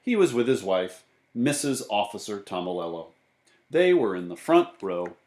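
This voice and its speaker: A man reading a children's storybook aloud in animated narration, recorded in an echoey room. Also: on the low side, moderate pace. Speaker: book reader.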